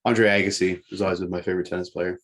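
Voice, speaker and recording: A man's voice: deep voice, flat delivery, rapid-fire, fairly bright tone. A man co-hosting a casual sports discussion, explaining things in a matter-of-fact way. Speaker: sports podcast co-host.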